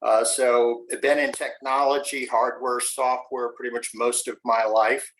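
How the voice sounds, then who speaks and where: A man's voice: medium pitch, little pitch movement, unhurried, fairly bright tone, slight background noise, rough recording. Upbeat American man hosting an interview. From USA. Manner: friendly, filler-heavy, upbeat. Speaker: YouTube channel host. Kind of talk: casual interview. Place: home in the mountains.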